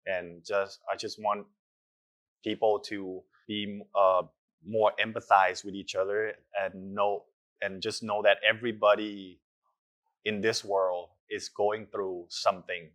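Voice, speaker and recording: Earnest young man, lowish voice. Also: flat delivery, takes it slowly, a bit bright. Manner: earnest, reflective, with hesitant fillers. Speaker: actor. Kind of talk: casual interview answers.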